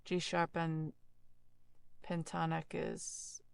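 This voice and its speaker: A woman's voice: deep voice, monotone, slow pace, creaky, clean tone. A woman hosting an audio effects tutorial, talking informally and thinking aloud as she works. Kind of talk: casual screen-demo tutorial talk.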